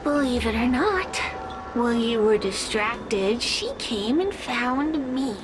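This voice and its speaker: A woman's voice: fairly high pitch, lively intonation, slow pace, noisy background. A woman playing a sly, sadistic spirit in a video game, with a taunting, theatrical, cackling manner. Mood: gloating, cruel. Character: sly, sadistic, mocking spirit who relishes others' suffering.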